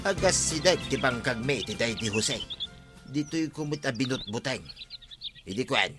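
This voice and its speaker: A man's voice: mid-range voice, expressive, moderate pace, loud music behind the voice, natural voice. An actor playing a troubled, stubborn village man in a comedy radio drama, given to grumbling and exclaiming. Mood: bitter, defensive.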